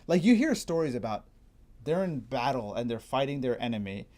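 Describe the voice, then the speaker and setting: Mellow-voiced man explaining history casually. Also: medium pitch, very animated, a little fast. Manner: thinking out loud, digressive, informal. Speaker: guest martial arts enthusiast explaining history.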